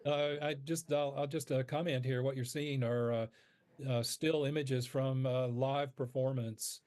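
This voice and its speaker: A man being interviewed as a radio producer, explaining his work in measured phrases with halting pauses mid-sentence. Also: mid-range voice, steady tone, darkish tone, no echo.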